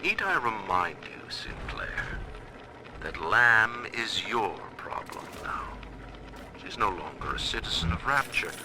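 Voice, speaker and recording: A man's voice: fairly low pitch, very animated, slow, background noise. A man playing a stern, proud middle-aged tycoon who rules his own city in a video game, speaking his audio diaries in pompous, menacing, formal oratory. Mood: stern, commanding. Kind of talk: dramatic recorded diary monologue, formal oratory.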